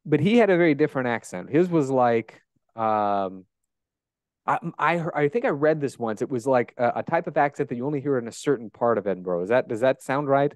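A man's voice: medium pitch, expressive, a little fast, dry recording. A man in his thirties with a Great Plains American accent, hosting a casual podcast interview and asking curious, chatty questions with frequent restarts. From United States. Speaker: podcast host. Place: bright room at home. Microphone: handheld dynamic mic close up.

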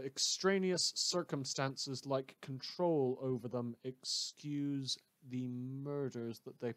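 A man playing a morbidly amused radio host in a fan audio drama, rambling hesitantly with dark, wry mock concern. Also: fairly high pitch, no echo. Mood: musing, sardonic.

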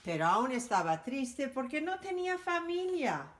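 Storytime reader, woman, sing-song and animated, high very lively voice. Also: unhurried, slight background noise. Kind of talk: children's picture book read-aloud.

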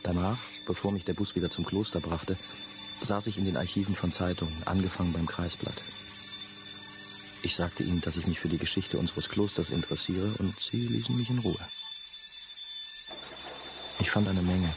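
An actor playing a brooding, haunted former novice, confiding his story in measured, terse radio drama narration. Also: deep voice, steady tone, background noise. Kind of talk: first-person radio drama monologue.

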